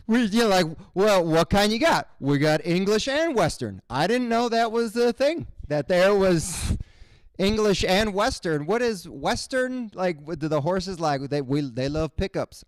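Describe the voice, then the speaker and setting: A man from Cuba, raised in Miami, doing a stand-up comedy set in a basement room and jumping into loud shouted voices for the people in his jokes. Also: sits high, smooth, no echo. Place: basement room at a college show.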